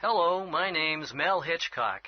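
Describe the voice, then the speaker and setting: A man's voice: a little high, lively intonation, measured pace, no echo. A man in his thirties acting a sporty gym teacher introducing himself. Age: 30s. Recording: dull lo-fi audio.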